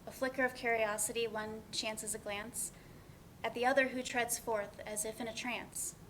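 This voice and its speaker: A woman's voice: fairly high pitch, steady tone, normal speed, a bit bright, a little hiss or hum, natural voice, low-quality recording. A woman playing a wry, sarcastic young woman with a dry, natural delivery in a play reading. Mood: reflective.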